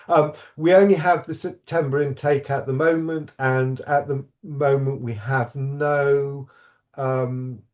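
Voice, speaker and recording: A man on a video call answers questions about a university course, speaking at a slow, unhurried pace. He stops and restarts often and fills gaps with ums and uhs. His pitch sits in a middle range. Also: darkish tone. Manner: hesitant, many restarts and fillers. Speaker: programme staff member. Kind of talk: webinar Q&A answer.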